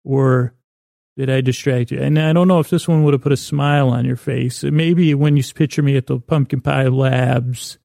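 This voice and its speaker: Creaky-voiced man, rambling and mellow. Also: medium pitch, fairly even intonation, no room sound, clean studio sound. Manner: meandering, halting, trails off into unfinished sentences. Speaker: podcast host. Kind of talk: rambling bedtime podcast monologue.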